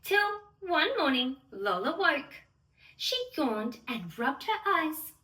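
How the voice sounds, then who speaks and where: A woman playing a sweet, excitable young fairy who gushes in a sing-song way to little children as she hosts a bedtime story read-aloud. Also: high-pitched, takes it slowly, heavily acted. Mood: storytelling wonder. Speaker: children's storyteller and channel host. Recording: roomy echo, distant microphone.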